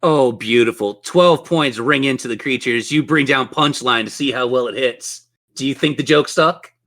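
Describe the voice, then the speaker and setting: A man with a bright, energetic edge to his voice acts as game master. He narrates a tabletop fantasy game in vivid, playful detail, at a middling pitch. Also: normal speed.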